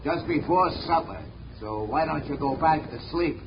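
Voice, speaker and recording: A hulking, thick-headed male asylum orderly who growls threats in rough street talk, his voice pitched a bit high for such a big man. The old radio recording sounds muffled and carries a steady hiss. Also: moderate pace. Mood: surly. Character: gruff muscle-bound male asylum nurse. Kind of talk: 1940s radio acting.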